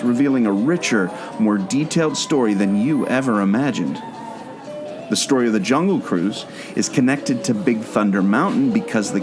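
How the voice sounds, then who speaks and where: A man in a scripted audio play, earnestly explaining a mystery like a storyteller leading his listener along. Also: fairly low pitch. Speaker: podcast co-host, former theme park skipper. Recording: guitar music behind the voice. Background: plucked guitar music.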